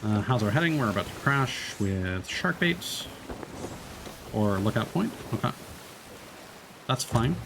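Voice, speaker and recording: Male streamer, lowish voice, rambling casual play-by-play, slight background noise. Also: sing-song, a little slow, long pauses. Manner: rambling, many fillers and restarts. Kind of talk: casual gameplay commentary.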